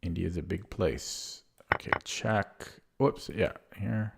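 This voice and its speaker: A man streaming chess live with a hoarse voice, thinking aloud about his moves and chatting casually with viewers. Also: low voice, slow. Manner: thinks aloud while playing, muttering over moves. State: hoarse, clearing his throat.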